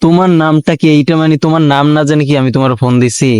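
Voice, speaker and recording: A man's voice: fairly high pitch, racing, darkish tone, clean tone, no room sound, clean studio sound. A man with a Bangladeshi accent chatting casually as a prank call host, teasing and pressing with questions. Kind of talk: casual teasing phone chat in colloquial Bengali.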